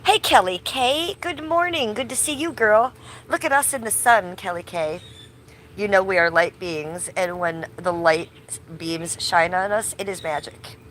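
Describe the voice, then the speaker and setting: A woman's voice: mid-range voice, a little slow, a bit bright, slight background noise. A woman chatting casually on a livestream from her backyard, sick and losing her voice a little, loose and swearing now and then. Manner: chatty, loose, swears casually, talks to chat viewers by name. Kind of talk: casual livestream chat outdoors. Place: backyard under trees, outdoors.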